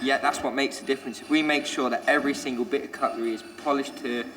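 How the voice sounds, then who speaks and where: A young man talks cheerfully and confidently, restarting his sentences as he works. His voice sits mid-range. The sound carries a roomy echo, with steady background noise around him. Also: medium pitch, quick pace. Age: 20s. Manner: chatty, upbeat, self-assured, restarts sentences. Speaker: trainee restaurant supervisor. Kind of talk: documentary interview and on-the-job talk.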